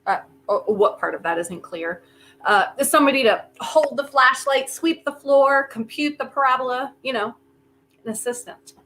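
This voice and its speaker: A woman actor in a staged play reading, playing a wry, determined inventor with a deliberate, halting delivery. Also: high voice, fairly bright tone. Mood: wry, patient. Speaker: actor in a community theater reading.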